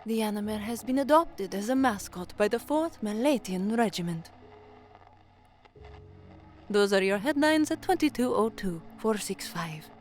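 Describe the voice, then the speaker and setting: A woman's voice: medium pitch, animated, unhurried, darkish tone, lightly acted. An actress playing a hopeful, earnest young woman newsreader from the slums in a science fiction audio drama. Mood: composed, formal. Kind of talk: audio drama acting, newsreading and first-person narration.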